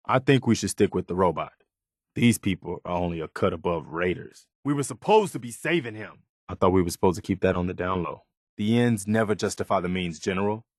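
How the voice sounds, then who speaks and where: An actor playing a principled, loyal and weary young militia soldier in a video game, speaking sincerely and plainly. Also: male voice, deep voice, expressive, fairly quick, no room sound, studio quality. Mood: reflective, principled. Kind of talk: video game companion dialogue.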